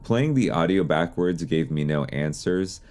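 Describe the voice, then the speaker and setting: A man's voice: low-pitched, lively intonation, measured pace, darkish tone. A man hosting an explainer series in a semi-scripted narration style. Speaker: host of an analog horror explainer series.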